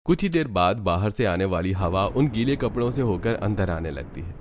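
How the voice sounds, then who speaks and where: A low-pitched male narrator reads a scripted cartoon tale. He delivers it as a storyteller between the characters' lines. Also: sing-song, moderate pace.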